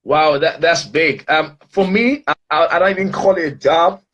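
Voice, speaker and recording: A man's voice: a little high, normal speed, a bit bright, dull audio. A man from Ghana, speaking as a guest in an online interview in an upbeat, motivational way with many fillers.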